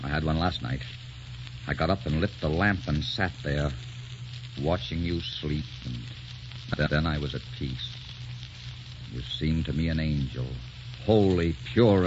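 An actor playing a tormented, adoring poet husband in an impassioned, melodramatic 1940s radio romance. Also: male voice, a bass voice, darkish tone, slight background noise. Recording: muffled 1940s radio broadcast, music behind.

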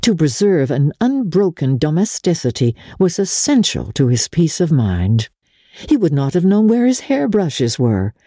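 A woman giving a dramatic reading of literary fiction as an audiobook narrator, with measured, expressive and deliberate phrasing. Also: sits low, slightly rough, dry recording, studio quality.

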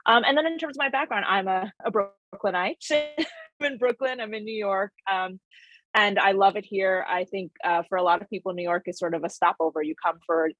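A woman's voice: medium pitch, a little fast, fairly bright tone, no room sound, muffled recording. A woman in her forties from Connecticut, talking as an interview guest in a reflective way, with frequent fillers and light laughs. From Connecticut, USA. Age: 40s. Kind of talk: conversational interview.

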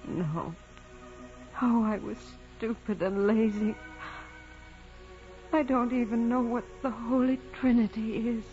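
A dying young nun in a vintage radio drama. Her voice is high, weak and slow, halting as she pleads with humble devotion. The old recording is dull, with music behind her. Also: steady tone, warm tone. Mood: anguished. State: weak, sick, straining to speak. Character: frail, devout young nun, humble and self-doubting, gravely ill. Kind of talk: 1940s radio drama acting.

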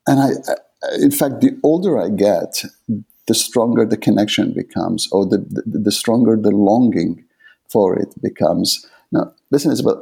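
Gravelly, low-voiced Arabic-speaking man. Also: warm tone. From Middle East. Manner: thoughtful, often restarts and repeats words while searching for phrasing. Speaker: author being interviewed. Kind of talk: reflective conversational interview.

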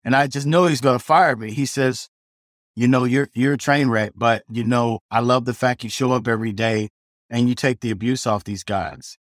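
A middle-aged chef tells his story in a loose, rambling way, full of restarts and "you know". He speaks at a middle pitch. The sound is dry, with no room around it. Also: normal speed, no room sound. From South Side of Chicago. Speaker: chef guest. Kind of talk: casual storytelling interview.

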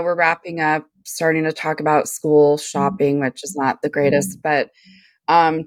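A middle-aged woman co-hosting a podcast, talking in a relaxed, meandering way with lots of fillers. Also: low-pitched, no room sound. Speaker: podcast co-host. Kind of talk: casual chat.

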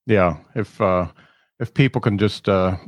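Low-voiced laid-back podcast host. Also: male voice, unhurried, no echo, clean studio sound. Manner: laid-back, hesitant with fillers. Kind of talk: casual interview chat.

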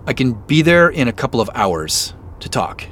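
A man acting in an audio drama as a guarded, firm character who speaks in deliberate, clipped phrases. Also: mid-range voice, sing-song, a bit bright, slight background noise. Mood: serious, tentative.

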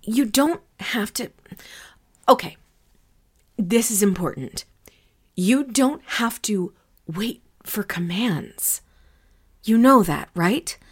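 A woman playing a gentle, encouraging artificial intelligence crew member in an audio drama, speaking softly and hesitantly. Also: mid-range voice, lively intonation, slow pace, pauses often, breathy edge. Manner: hesitant, soft, reassuring. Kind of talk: scripted audio drama acting.

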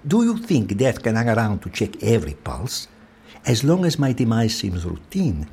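A man's voice: mid-range voice, husky. An actor playing a centuries-old Venetian man, fatalistic and intense, in an audio drama.

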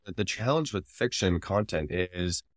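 A man's voice: sits low, expressive, moderate pace, no room sound, studio quality. A man co-hosting a podcast, talking casually and analytically as he explains his opinions. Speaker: podcast co-host.

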